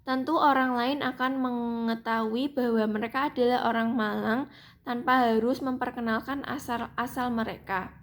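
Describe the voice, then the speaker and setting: A young woman reads her seminar paper aloud in a fairly high voice. She keeps a steady, even reading pace and now and then restarts a word. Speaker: student presenter.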